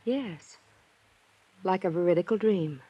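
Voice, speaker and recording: An actress playing an earnest, forward young woman in a 1950s radio drama, speaking coyly and deliberately. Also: on the low side, husky, no room sound, highs cut off. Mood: earnest, intrigued.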